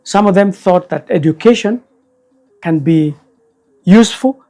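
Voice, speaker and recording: Slow-spoken older man, mellow voice. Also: high voice. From Kenya. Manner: slow, measured, deliberate. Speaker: elder guest storyteller. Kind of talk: reflective storytelling interview.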